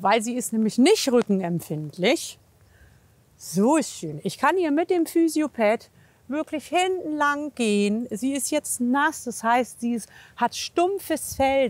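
A woman's voice: a little high, sing-song, slow, darkish tone, no echo. A woman giving a casual hands-on demonstration outdoors, coaxing with short repeated reassurances and explaining as she works. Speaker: horse physiotherapist and trainer. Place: outdoors at a horse yard in wind and rain.

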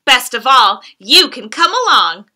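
A woman reading the lines of a cheerful, caring little girl in a gentle, sing-song storybook way. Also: high voice, sing-song, a little slow, full character voice.

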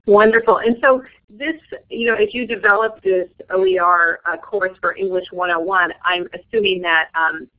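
A woman's voice: medium pitch, normal speed, hardly any pauses. A woman moderating a webinar, introducing speakers and passing on questions, with frequent ums. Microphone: phone or web call. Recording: tinny phone-line audio.

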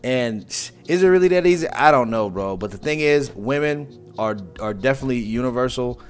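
A man's voice: mid-range voice, animated, a little fast. Laid-back young man mocking on mic. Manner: casual and mocking, says "bro" often. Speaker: reaction channel host. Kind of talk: casual reaction commentary. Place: indoors, small room at home. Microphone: wired earphone mic.

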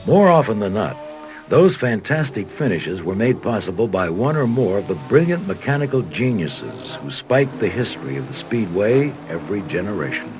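An American man reading scripted documentary narration as a studio voice-over. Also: lowish voice. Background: background music.

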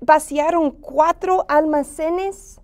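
A woman's voice: high voice, fairly even intonation, a little mellow. A woman voicing a playful, curious, childlike bilingual puppet monster in a children's read-aloud, speaking in simple phrases. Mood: eager, curious. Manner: slow, simple, echoing phrases in Spanish and English.

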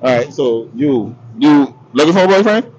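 A man's voice: medium pitch, expressive, normal speed, highs cut off, a crowd in the background. A man with a Southern US accent asking casual, slangy questions as a street interviewer, outdoors on a city street with a handheld mic. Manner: laid-back slangy questioning.

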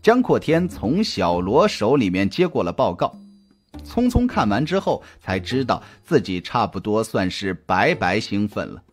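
A man reading a scripted suspense audiobook in a dramatic, deliberate, expressive storytelling manner. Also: on the low side. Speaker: audiobook narrator. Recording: music under the voice. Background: background music.

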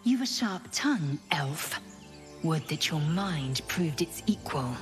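A woman's voice: low-pitched, sing-song, takes it slowly, music in the background, exaggerated voice. A woman playing a haughty alien warrior in a fantasy game, imperious and clipped in manner. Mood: haughty, curt. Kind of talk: cinematic fantasy game acting.

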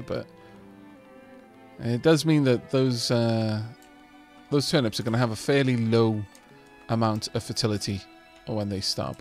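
Welsh-native male let's-player, chatty and expressive, speaks to his settlers, soft music underneath. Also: medium pitch. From Wales. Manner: chatty, talks to his settlers, self-deprecating. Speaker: let's play host. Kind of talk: casual gameplay commentary.

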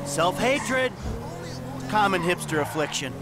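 An actor playing a sardonic middle-aged American career bank robber in a video game, arguing in a dry, needling way. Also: male voice, high-pitched, animated, takes it slowly, creaky, loud music behind the voice, full character voice. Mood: mocking.

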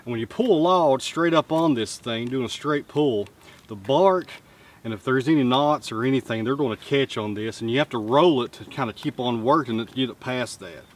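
A man's voice: mid-range voice, moderate pace. A man in his thirties explaining his equipment step by step in a plain, practical way, recorded outdoors on a camera mic. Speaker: channel host. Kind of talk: casual how-to explanation. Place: outdoors by a road with trees.

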